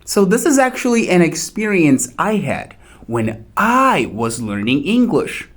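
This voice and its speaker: This native Portuguese speaker gives an English lesson at an unhurried, careful pace. The pitch rises and falls expressively. Each point is spoken straight to the listener. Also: sing-song, husky. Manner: slow, deliberate, addresses viewer directly. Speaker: English teacher. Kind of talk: instructional talk to learners.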